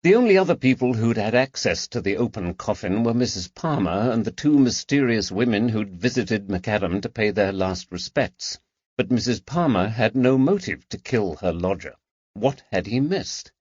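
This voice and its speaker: A man's voice: lowish voice, lively intonation, moderate pace, darkish tone, slightly rough, no echo, muffled recording. A man reading a period mystery novel aloud as an audiobook narrator.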